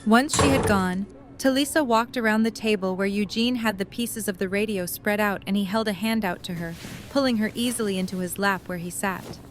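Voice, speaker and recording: A woman's voice: mid-range voice, brisk, noisy background. A woman narrating a fan audio drama, reading scripted story prose.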